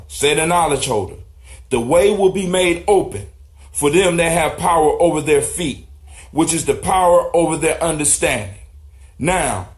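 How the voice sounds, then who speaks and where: A man hosting a talk show, teaching in an informal, preacherly way with slang. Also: fairly high pitch. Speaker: host of a discussion show. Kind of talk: teaching talk, reading and explaining proverbs to guests.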